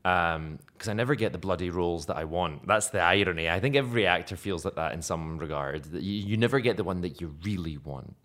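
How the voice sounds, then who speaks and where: A man's voice: low voice, fast, few pauses, a bit bright. A young Scottish man, an actor being interviewed, chatting casually and self-deprecatingly, laughing often. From Scotland.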